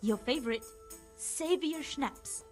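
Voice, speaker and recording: Young tavern maid, teasing and sweet, slow higher-pitched delivery for a game cutscene. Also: female voice, faint music behind. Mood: coy, affectionate. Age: young adult. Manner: coy, teasing, affectionate. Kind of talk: video game cutscene acting.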